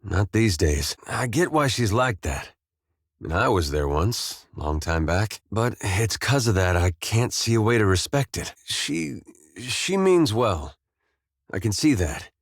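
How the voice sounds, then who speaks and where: An actor playing an easygoing, wry, war-weary farmer turned adventurer in a video game, talking in a folksy, understated way with short, plain phrases. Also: male voice, fairly low pitch, big pitch swings, measured pace, slightly rough, dry recording, clean studio sound, full character voice. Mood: resigned, candid. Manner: folksy, laid-back, understated, drawling short clipped phrases. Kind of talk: video game companion dialogue.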